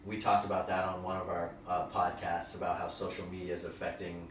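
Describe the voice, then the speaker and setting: A man from Maine hosts a podcast with a very deep voice. He asks questions in an upbeat, easygoing way. He sounds far from the mic in a very echoey room. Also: monotone, a little fast, a bit bright, slight background noise. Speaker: podcast host. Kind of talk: casual interview chat.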